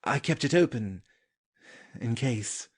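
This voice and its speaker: Man acting young aristocrat, medium-pitched husky voice, slow, shy, formal, hesitant, stage comedy. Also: lively intonation. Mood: tentative. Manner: halting, formal, polite. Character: shy, courteous young lord, lovestruck and hesitant. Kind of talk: stage-play audio drama acting.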